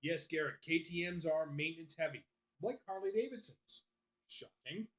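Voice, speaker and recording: Guy running a livestream, kinda high voice, chatty and swears a lot, answering chat. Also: moderate pace, fairly bright tone. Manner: loose and profane, chatty. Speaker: livestream host. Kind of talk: casual live-stream chat, reading out viewer comments.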